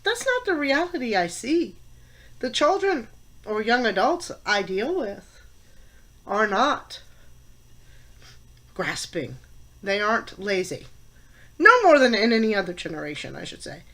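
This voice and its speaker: A woman in her fifties talks into the camera for a class vlog, her voice stuffed up with a head cold. Her tone is bright and tense and sits a little high. She lands on her words firmly, with pauses and fillers between them. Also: big pitch swings. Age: 50s. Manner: opinionated, emphatic, with pauses and fillers. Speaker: college teacher and student.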